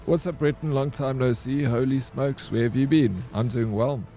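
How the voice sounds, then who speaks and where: A South African man, a gaming streamer, chatting casually and haltingly with viewers while he plays. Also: mid-range voice, steady tone, dark tone, faint music behind. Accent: South African English. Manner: rambling and hesitant, full of ums and restarts.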